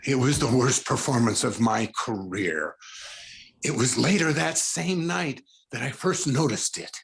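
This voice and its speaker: A male actor playing a tormented, unraveling concert pianist in a 1940s-style radio drama, stammering and halting in an overwrought way. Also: medium pitch, expressive, gravelly. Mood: haunted, confessional.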